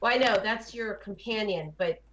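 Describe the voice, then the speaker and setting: A woman's voice: mid-range voice, moderate pace, fairly bright tone. A woman co-hosting a gaming podcast, chatting casually in a questioning, unsure way. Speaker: podcast co-host discussing a video game. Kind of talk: casual chat. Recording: echoey, distant, slightly dull mic.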